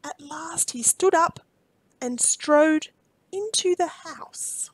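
Slow, high-voiced woman storyteller. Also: dry recording. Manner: deliberate phrasing, dramatic emphasis. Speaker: children's storybook reader. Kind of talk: expressive read-aloud storytelling.

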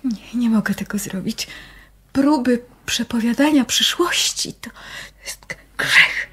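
A very breathy, half-whispered female voice, a fearful, devout nun. She speaks slowly and rather high, in radio drama style. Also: exaggerated voice. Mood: frightened, hesitant. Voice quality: breathy, hushed.

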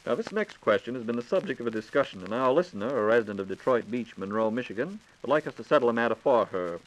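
Brisk American man, 1940s radio announcer. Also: medium pitch, animated, rough recording. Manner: polished announcer delivery, courteous. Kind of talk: 1940s radio hosting, scripted. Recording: 1940s radio transcription disc.

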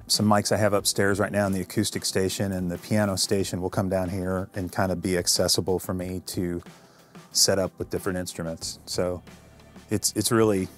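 A man in his fifties from Illinois, giving a relaxed, technical tour of his basement recording studio. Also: low-pitched. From Illinois, USA.

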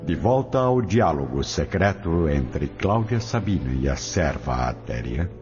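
Super deep guy narrating a radio play, music playing behind him. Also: big pitch swings, measured pace. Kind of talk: scripted radio drama narration.

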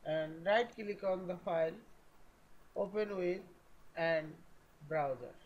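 A man narrating a step-by-step software tutorial in short, halting phrases. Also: high voice, dull audio.

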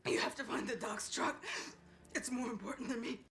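A tough game fighter who is badly hurt. Her voice is fairly high, sharp and strained, and stubbornly hard. Faint effects run behind it. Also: moderate pace, creaky, slight background noise. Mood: insistent. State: strained, in pain. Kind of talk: cinematic game voice acting.

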